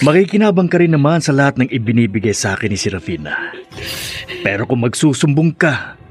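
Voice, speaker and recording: A man's voice: mid-range voice, big pitch swings, fairly quick, soft background music, close to an everyday voice. Man acting a sleazy, menacing radio drama villain. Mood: menacing, taunting. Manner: taunting when in control, wheedling when cornered. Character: menacing, lecherous, lying live-in lover of a mother.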